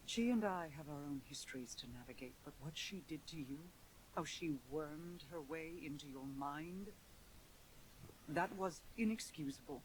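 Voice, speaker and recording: A woman's voice: low-pitched, moderate pace, slight background noise, natural voice, poor audio. An actress playing a regal, sorrowful queen and protective sister, solemn, formal and restrained, in a video game cutscene. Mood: solemn regret.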